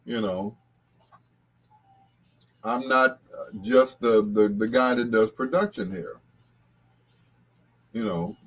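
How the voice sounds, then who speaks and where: A man's voice: mid-range voice, slow, long pauses, fairly bright tone. A man hosting a casual livestream, talking to viewers in a rambling, conversational way. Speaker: livestream host.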